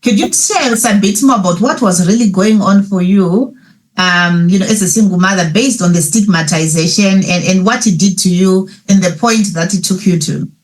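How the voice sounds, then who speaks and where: A woman's voice: mid-range voice, moderate pace. A woman hosting a fireside chat on a video call, introducing guests and affirming them with frequent "mm"s. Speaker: host, moderator.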